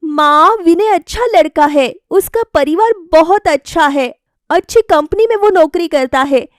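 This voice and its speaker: A woman voicing a lively, stubborn young college woman in a cartoon story, expressive and insistent. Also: extremely high pitch, unhurried, dry recording, clean studio sound, voice pushed up. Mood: upset pleading.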